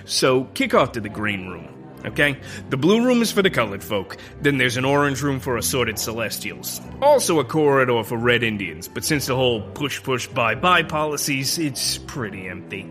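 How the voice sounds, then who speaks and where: An actor playing an officious, bigoted club host in a comedic period audio drama, smarmy, fussy and rambling. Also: male voice, mid-range voice, very animated, normal speed, a bit bright, soft background music. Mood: evasive, smarmy.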